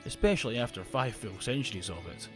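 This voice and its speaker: A man voicing a friendly, curious robot companion who speaks in a polite, measured and earnest way in an audio roleplay. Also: medium pitch, lively intonation, music in the background, lightly acted. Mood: earnest, assured.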